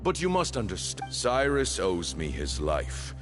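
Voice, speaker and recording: An actor playing a stern old temple leader in a video game, formal and theatrical, holding a long grudge. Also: male voice, fairly low pitch, huge pitch swings, measured pace. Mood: coolly menacing. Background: game music.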